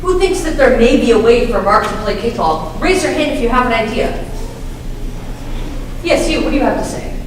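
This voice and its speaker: A woman's voice: fairly high pitch, big pitch swings, normal speed, pauses often. A woman presenting an educational show for children, putting simple questions to her young audience. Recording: very echoey, distant, faintly noisy room.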